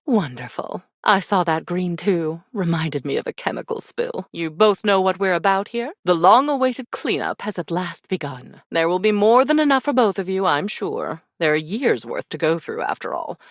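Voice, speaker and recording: A woman's voice: on the low side, very animated, normal speed, few pauses, no room sound. A woman voicing a haughty female spirit in a video game, speaking in an arch, theatrical way with dry sarcasm and condescending endearments. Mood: brisk, commanding. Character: haughty, sardonic female attic spirit of forgotten keepsakes. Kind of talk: scripted video game character acting.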